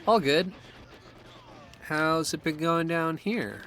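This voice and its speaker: An actor playing a laid-back, slightly wary young friend with a casual, dry manner in an audio drama. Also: male voice, on the high side, slow, a bit bright, a little hiss or hum. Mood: easygoing.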